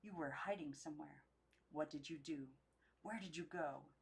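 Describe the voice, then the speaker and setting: A woman voicing a stern, anxious old aunt from a storybook, sharp and commanding as she scolds. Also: deep voice, normal speed, gravelly, breathy edge, pitched below the performer's own voice, heavily acted. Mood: stern, accusing.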